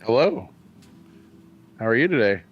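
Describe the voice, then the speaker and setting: A man's voice: mid-range voice, sing-song, a little slow, dull audio. A man playing a friendly, curious adventurer in a tabletop fantasy game, chatty and apologetic, often repeating his words. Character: friendly, polite, curious adventurer with bugbear lineage.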